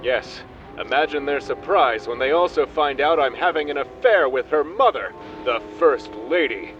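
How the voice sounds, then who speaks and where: A man's voice: a little high, lively intonation, moderate pace, a bit bright. A man playing a pompous, brazen secret son of a dictator in a melodramatic comedy radio play. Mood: smug. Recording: dull lo-fi with music.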